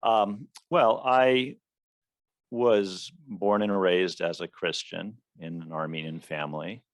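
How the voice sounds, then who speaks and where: A man from the Washington, D.C. area, a guest in an interview, telling his story in a measured, thoughtful way. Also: deep voice, steady tone, no room sound. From Washington, D.C. area, USA.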